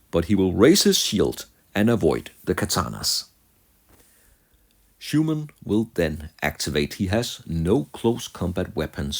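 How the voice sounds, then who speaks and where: A man narrating a tabletop wargame play by play in measured, deliberate short phrases. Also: sits low, gravelly. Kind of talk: play-by-play narration of miniature game moves.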